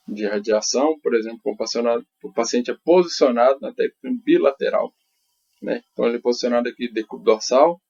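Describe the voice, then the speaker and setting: Male Brazilian researcher for a conference paper, mid-pitched and raspy, halting delivery with frequent tag questions, slightly muffled recording. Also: warm tone. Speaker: researcher presenting a study. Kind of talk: academic conference presentation. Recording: dull, lo-fi audio.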